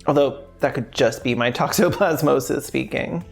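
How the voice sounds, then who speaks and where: A woman's voice: very deep voice, normal speed, vocal fry. A woman narrating a scripted video essay in a wry, conversational, sweary way. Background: music behind the voice.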